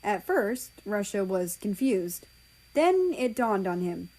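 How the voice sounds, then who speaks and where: A woman's voice: mid-range voice, big pitch swings, takes it slowly, a little mellow, poor audio. A woman reading a story aloud as scripted narration, an amateur audiobook reader.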